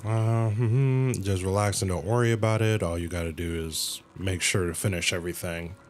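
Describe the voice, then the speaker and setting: A man's voice: deep voice, steady tone, normal speed, slight background noise. A man livestreaming a game, casually reading dialogue aloud and reacting in slang, sometimes stumbling and correcting himself. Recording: game music behind the voice. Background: video game music.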